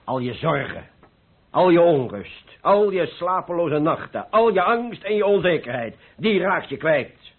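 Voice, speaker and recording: An actor playing a cheerful, carefree older painter who philosophizes persuasively in a radio play. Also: male voice, fairly high pitch, sing-song, measured pace, husky, full character voice. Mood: urging, blithe. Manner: exuberant, persuasive, rhetorical. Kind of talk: Dutch radio play acting. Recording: muffled old radio play recording.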